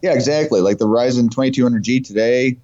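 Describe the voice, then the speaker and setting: A man's voice: medium pitch, fast, darkish tone. A man with a cold, chatting casually and enthusiastically about technology as an invited guest. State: has a cold. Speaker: guest company representative. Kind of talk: casual tech discussion. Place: remote call over the internet. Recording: muffled, dull call audio.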